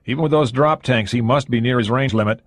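A male narrator playing a cocky young American lieutenant who cracks jokes in a relaxed way. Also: medium pitch, quick pace, no echo, clean studio sound, natural voice. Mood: amused. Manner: wisecracking, relaxed.

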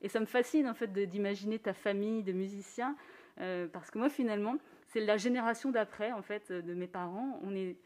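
A woman musician speaking in a thoughtful, earnest way during a radio conversation, sometimes laughing softly. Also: medium pitch, a little fast. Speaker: musician guest. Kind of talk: reflective conversation.

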